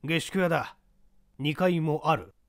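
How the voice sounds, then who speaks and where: A man voicing a composed older gentleman who explains patiently and a little condescendingly in a mystery reading. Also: a little high, unhurried, no echo, studio quality. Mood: curt.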